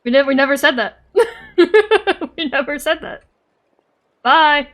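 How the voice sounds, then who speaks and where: A woman's voice: high voice, animated, slow, a bit bright. A woman streamer giving casual commentary on a video game in an irreverent, slangy manner. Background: music.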